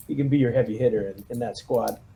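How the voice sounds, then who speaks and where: A man's voice: medium pitch, brisk, creaky, background noise. A man chatting casually as a player in a tabletop roleplaying session. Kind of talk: casual game-table chat.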